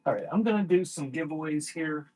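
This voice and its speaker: A man running a casual live-stream sale, talking in an offhand, halting way and thanking viewers by name. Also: a little high, darkish tone, no room sound, low-quality recording. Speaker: live online seller.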